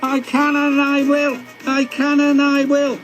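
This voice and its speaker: A man voicing a young male red steam engine who frets and then pushes on, in a high plaintive voice. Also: completely flat delivery, a little slow, music in the background. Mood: determined.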